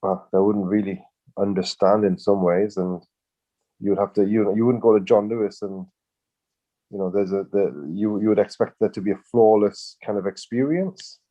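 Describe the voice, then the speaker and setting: A man with a fairly low, mellow voice talks through his thoughts in a loose, rambling way. He stops and restarts often and leans on fillers as he goes. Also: moderate pace, poor audio. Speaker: co-host. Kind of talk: casual business chat.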